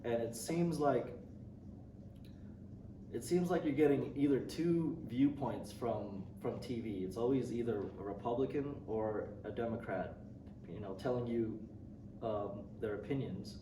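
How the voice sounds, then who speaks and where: A man's voice: medium pitch, measured pace. A young man from Indonesia answering interview questions casually, with many ums, restarts and light laughs. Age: young adult. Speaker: student interviewee. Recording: roomy, distant mic, noisy.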